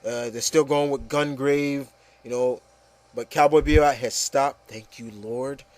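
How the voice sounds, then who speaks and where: A man's voice: mid-range voice, steady tone, unhurried, no room sound. A man hosting a casual opinion talk, ranting emphatically and often repeating himself with okay and you know. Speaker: host. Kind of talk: casual opinion rant with co-hosts.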